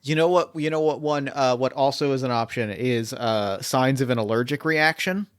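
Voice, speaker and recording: A man's voice: mid-range voice, normal speed, clear, resonant tone. A man running a tabletop game as host, chatting casually and thinking aloud with lots of fillers and restarts.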